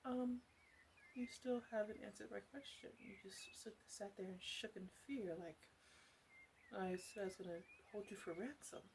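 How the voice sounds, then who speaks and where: A woman playing a flirtatious, chatty witch of the woods in an ASMR roleplay, speaking in a soft, hesitant, playfully rambling way. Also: medium pitch, normal speed, vocal fry, a little hiss or hum, close to an everyday voice, rough recording. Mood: wry, chatty. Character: flirtatious, teasing forest witch, wry and chatty.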